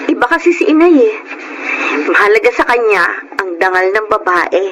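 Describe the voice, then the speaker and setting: A woman's voice: mid-range voice, big pitch swings, moderate pace, background noise, lightly acted. A woman playing an ambitious, defiant young Filipina in a radio drama, with melodramatic, emphatic delivery. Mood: pleased, gossipy. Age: young adult.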